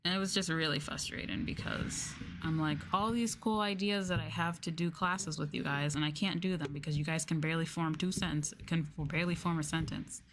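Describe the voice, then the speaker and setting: A young adult woman chatting casually and softly as an ASMR streamer, candid and mildly sweary. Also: low-pitched, steady tone, fairly quick, hardly any pauses, background noise. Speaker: VTuber streamer. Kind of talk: soft-spoken ASMR casual chat.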